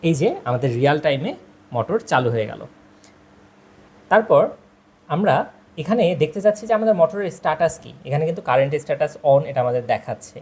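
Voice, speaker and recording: A man's voice: fairly high pitch, expressive, fast talker, pauses often, a little mellow. A man narrating a product demonstration, explaining step by step and addressing viewers directly. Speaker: product presenter. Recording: clear background noise, ambient sound.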